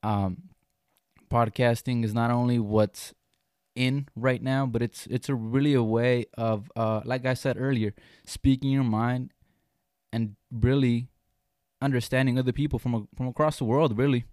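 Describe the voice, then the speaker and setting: A man's voice: mid-range voice, steady tone, normal speed, darkish tone, no room sound, studio quality. A young man whose first language is Spanish, hosting a casual podcast chat in an earnest, emphatic way with frequent restarts. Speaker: podcast host.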